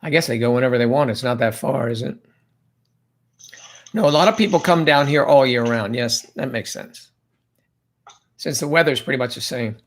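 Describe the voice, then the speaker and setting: A man's voice: medium pitch, moderate pace, pauses often. Chatty man hosting a livestream. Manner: rambling, chatty, reads viewer comments aloud. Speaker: livestream host. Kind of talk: casual livestream chat.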